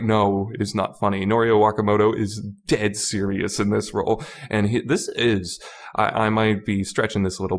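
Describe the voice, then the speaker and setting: A man with a fairly low voice talks through a casual, unscripted review. He hosts like an amateur podcaster, wandering through his points, repeating phrases for emphasis and correcting himself as he goes. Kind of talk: casual unscripted video game review commentary.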